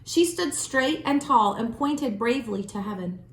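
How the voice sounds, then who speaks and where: A woman reading a children's storybook aloud as a storyteller, with expressive, deliberate phrasing. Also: fairly high pitch. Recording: roomy, echoing room sound.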